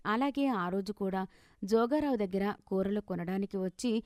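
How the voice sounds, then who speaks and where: A woman storyteller reading a scripted moral tale in an expressive, measured way. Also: mid-range voice, no room sound, clean studio sound.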